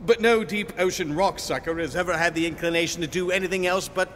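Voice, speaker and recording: Man playing high, exasperated lightning bolt in long reverb. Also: fairly even intonation, fast talker, a bit bright. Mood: relieved, lecturing. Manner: impatient, sarcastic, theatrical, wordy. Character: exasperated talking lightning, an otherworldly life force. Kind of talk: comic sci-fi audio theatre acting. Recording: long reverb, faint background noise.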